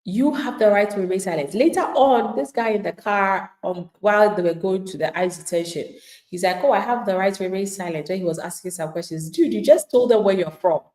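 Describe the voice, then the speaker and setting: A woman's voice: mid-range voice, brisk, a little mellow, reverberant. A woman immigration attorney giving live legal advice to viewers in an emphatic, plain-spoken way, acting out the replies of people she describes.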